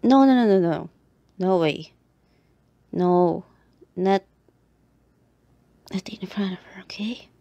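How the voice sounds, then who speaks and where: A woman acts out a reluctant tsundere girl in an ASMR roleplay. Her voice is mellow, with plenty of fry. She talks very slowly in short, halting phrases, easily flustered. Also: mid-range voice, no echo, lo-fi sound.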